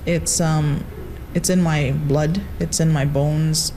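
A woman's voice: low voice, steady tone, takes it slowly, mellow, a little hiss or hum. A young woman who teaches a language, speaking in a reflective, earnest way. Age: young adult.

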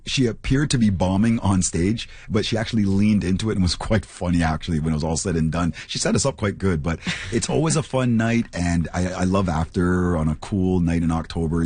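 A man co-hosting a radio show, chatting in a relaxed, rambling way. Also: low voice, fairly quick, few pauses, slightly rough, dry recording. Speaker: radio co-host. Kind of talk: casual on-air chat. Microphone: studio microphone.

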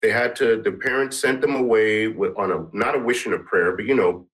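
A middle-aged musician from Guyana answering interview questions in an earnest, rambling way. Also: male voice, lowish voice, brisk, fairly bright tone, rough recording. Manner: earnest, rambling, many restarts. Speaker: musician and composer.

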